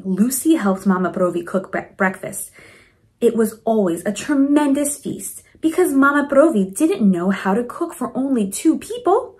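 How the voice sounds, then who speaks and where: A woman reading a storybook aloud to children in an expressive, encouraging way, with teaching asides. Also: mid-range voice. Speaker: principal reading a picture book to children.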